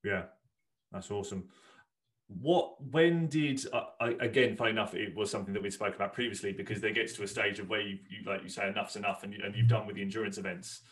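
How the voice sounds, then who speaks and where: A male podcast host asks questions in a casual, stop-and-start way, often circling back before getting to the point. His voice sits somewhat low, and he fills pauses with short murmurs of agreement. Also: steady tone, fairly bright tone. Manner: halting questions with restarts and frequent "yeah" backchannels. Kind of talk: casual interview conversation.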